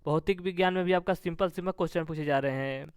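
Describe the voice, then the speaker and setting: Crisp, higher-pitched male exam teacher. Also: quick pace, no room sound, studio quality. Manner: repetitive, formulaic delivery of questions and answers. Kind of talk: instructional question-and-answer exam review.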